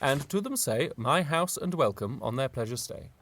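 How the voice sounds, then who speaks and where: A man's voice: mid-range voice, expressive, normal speed, a bit bright, close to an everyday voice. A man playing a lordly head of a household giving orders in a Shakespearean audio drama, on a phone recording. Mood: instructing. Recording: faintly noisy home phone recording.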